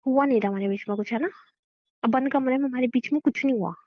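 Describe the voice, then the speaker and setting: A woman's voice: sits high, fast. A young woman playing an exasperated, scolding girlfriend in a staged phone-call argument, insistent and repeating herself, on a dull call recording. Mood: frustrated.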